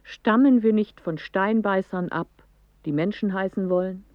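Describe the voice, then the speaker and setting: An actress playing a clever, dreamy woman who recites a poetic radio play monologue in measured, declamatory phrases. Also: mid-range voice, dry recording, muffled recording, close to an everyday voice. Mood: wry, declamatory. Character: clever woman musing in riddles, dreamy storyteller of images.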